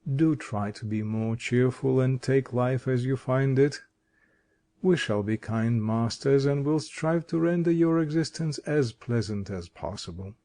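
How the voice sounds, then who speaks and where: Kindly storybook scarecrow, mellow and coaxing, put on by a male narrator. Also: mid-range voice, a little slow, natural voice. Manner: kind, coaxing.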